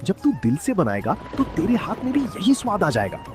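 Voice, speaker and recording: A man's voice: high-pitched, huge pitch swings, moderate pace. A man playing a hardworking, avuncular village father who sells street food in a cartoon story. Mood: hearty, proud. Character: hardworking village father selling spicy noodles, hearty, proud. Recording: music under the voice.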